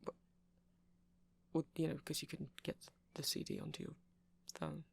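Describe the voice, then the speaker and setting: A man playing a nerdy, gentle vampire shop clerk in an ASMR roleplay, rambling in a hesitant, softly polite, apologetic way. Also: a little high, little pitch movement, normal speed, gravelly, husky, exaggerated voice. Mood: earnest rambling.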